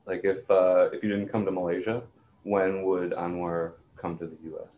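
Deep-voiced man explaining, echoey room. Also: flat delivery, normal speed, a little mellow. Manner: clarifies and explains for others. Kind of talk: explanatory interview talk. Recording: roomy echo, faint background noise.

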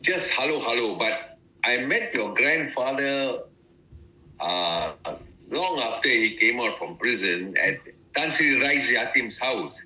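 A man's voice: mid-range voice, sing-song, slow, bright, forceful tone, low-quality recording. A man, a retired ambassador telling stories in a leisurely, good-humoured way during an interview. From Malaysia. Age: 90s. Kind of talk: casual interview reminiscence.

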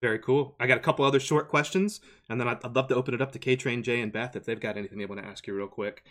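A man hosting a casual community podcast, upbeat and quick with his affirmations. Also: mid-range voice, steady tone, fairly bright tone. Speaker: podcast host. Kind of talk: casual chat.

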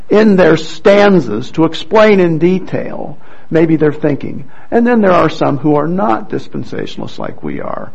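Guy addressing a congregation, slow and deliberate, gravelly edge, slightly muffled church recording. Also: on the high side. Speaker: church service leader introducing readers. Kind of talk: addressing a congregation, explanatory announcements. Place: church service, over a microphone.

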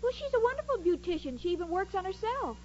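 A scatterbrained young woman speaks in an extremely high, hesitant, earnest voice. She is sweet and naive, in 1940s comedy style. The old broadcast is muffled with faint noise. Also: sing-song, fast talker. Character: dim-witted, sweet, eager young single woman, scatterbrained roommate. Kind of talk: 1940s radio comedy acting. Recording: muffled, noisy old radio recording.

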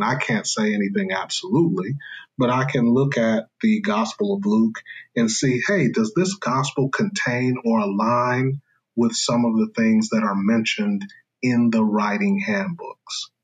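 Male scholar guest, low husky voice, careful lecturing delivery. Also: measured pace, rough recording. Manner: careful, lecturing, with fillers and restarts. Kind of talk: explaining in a podcast interview.

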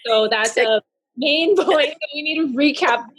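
A bubbly woman hosts a podcast with a high, bright voice. She rambles and giggles through her questions in a loose, casual way. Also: measured pace. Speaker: podcast host. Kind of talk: casual interview chat.

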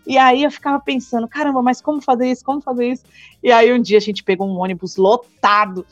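Guest: high, bubbly São woman in her twenties, slangy and laughing, on slightly muffled audio with light music. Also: quick pace. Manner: excitable, laughing often, slangy. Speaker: podcast guest, returned missionary. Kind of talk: casual interview chat.

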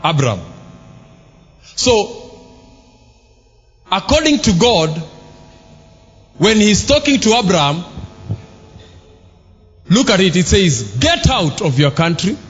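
A man preaches in a long-reverb hall, with soft music underneath. His voice sits high and swings widely in pitch. He talks slowly and shouts at the peaks. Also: very animated. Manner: emphatic, shouting at peaks and dropping low for asides. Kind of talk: sermon.

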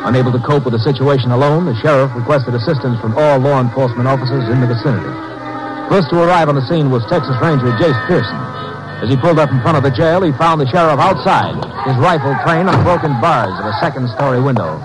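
An American man narrates a 1950s radio crime drama in a medium-pitched voice. He is measured and factual, with music clearly playing behind him. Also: poor audio.

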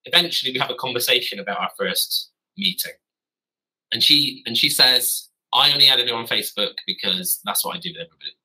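Guy, 30s, podcast guest on a call from his garden office; husky, forceful tone, meandering and self-correcting. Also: mid-range voice, lively intonation, brisk, low-quality recording. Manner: rambling, self-correcting, wry. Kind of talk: casual storytelling.

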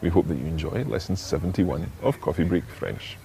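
A man's voice: deep voice, lively intonation, a little fast, creaky. A man presenting a scripted introduction to a language podcast.

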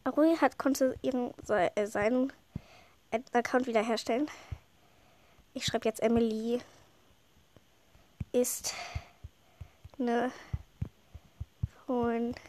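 A woman's voice: on the high side, fairly even intonation, takes it slowly, pauses often, a little mellow. A woman with a head cold giving casual, rambling commentary while she plays a game, sniffling and chatting with lots of fillers. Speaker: gameplay commentator.

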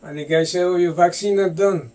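A man's voice: high-pitched, fairly even intonation, a little fast, darkish tone. An older man from Guyana with a Guyanese accent, telling stories from his youth in a casual chat and laughing often. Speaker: guest, host's father.

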